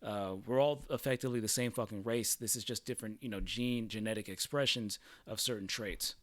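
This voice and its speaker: A man's voice: fairly low pitch, steady tone, a little fast, no echo. A man hosting a livestream, reacting casually in a rambling, profane way, often repeating "you know what I'm saying.". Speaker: livestream host.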